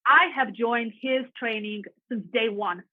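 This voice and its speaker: A woman's voice: fairly high pitch, a little slow, no echo. A woman in her thirties from Latvia with a Russian accent, telling her story in a chatty, earnest way as a podcast guest. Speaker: realtor guest. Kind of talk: casual podcast interview. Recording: tinny phone-like line, dry.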